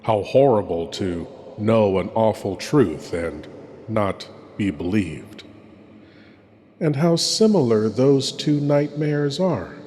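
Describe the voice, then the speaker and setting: An ominous, lowish-voiced horror host, performed by a man, speaking very slowly and solemnly. The theatrical monologue rings in a long cavernous reverb with faint noise. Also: lively intonation, warm tone. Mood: brooding, ominous. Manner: slow, solemn, dramatic.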